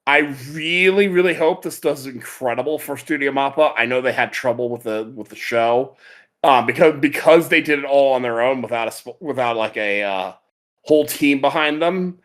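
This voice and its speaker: A man's voice: medium pitch, normal speed, fairly bright tone. A man hosting a podcast, chatting casually about anime in a rambling, enthusiastic way. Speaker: podcast host.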